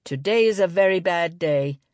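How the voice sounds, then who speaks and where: A woman's voice: on the low side, moderate pace, a little mellow, dry recording, clean studio sound, close to an everyday voice. A woman narrator voicing a fussy, gloomy male librarian who grumbles and exclaims, in an audiobook. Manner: grumpy, exclamatory, muttering.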